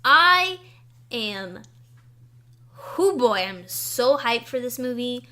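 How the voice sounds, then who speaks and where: A young woman co-hosting a casual podcast chat, excitable and gushing about things she loves. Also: a little high. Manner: excitable and gushing, quick to say she will cry. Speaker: podcast co-host.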